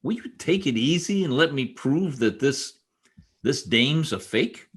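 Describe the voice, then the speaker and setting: A man acting an exasperated newspaper reporter in an old-time radio comedy. His husky voice swings widely in pitch as he tosses out slangy wisecracks. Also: animated, a little slow. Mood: indignant, flustered.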